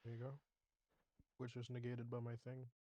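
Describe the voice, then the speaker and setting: A man's voice: medium pitch, monotone, normal speed, warm tone, dry recording. A man playing a tabletop game, chatting casually in a blunt, sweary way. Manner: profane, blunt. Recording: slightly muffled dry call audio.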